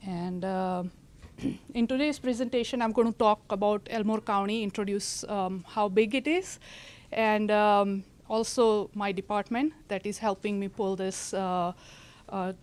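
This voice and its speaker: A middle-aged woman from India with an Indian accent, presenting as a government official in a polite, measured, explanatory way. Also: medium pitch, steady tone, a little mellow, clear, resonant tone. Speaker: county government director presenting. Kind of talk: prepared presentation to an audience.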